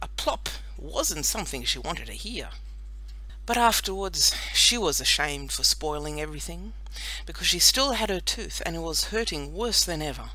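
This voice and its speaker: A woman narrating a children's audiobook in an expressive storytelling style. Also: low-pitched, normal speed, low-quality recording.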